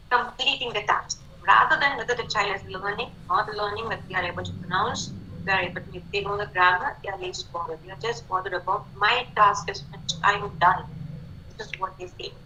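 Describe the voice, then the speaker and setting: A woman's voice: on the high side, pushed and bright, a little hiss or hum. A woman giving a talk on language teaching methods, with a lot of conversational "you know" fillers. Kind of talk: lecture to educators. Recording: tinny phone-line sound.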